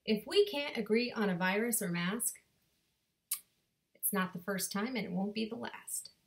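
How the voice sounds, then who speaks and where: A woman in her thirties performing her own spoken word poem in a deliberate, rhythmic way, recorded on a webcam in her bedroom. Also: medium pitch, lively intonation, vocal fry. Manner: slow, deliberate rhythmic delivery with dramatic pauses. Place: bedroom at home. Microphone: webcam at desk distance.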